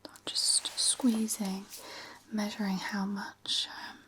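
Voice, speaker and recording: A woman whispers softly in an ASMR medical roleplay, playing a physiotherapist. Her pitch stays flat and level, with a breathy texture. She talks gently and without hurry, explaining each step as she goes. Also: slow.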